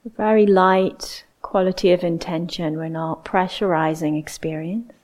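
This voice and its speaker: A woman leading a guided meditation as a teacher, speaking in a gentle, unhurried way with long pauses. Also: lowish voice, fairly even intonation.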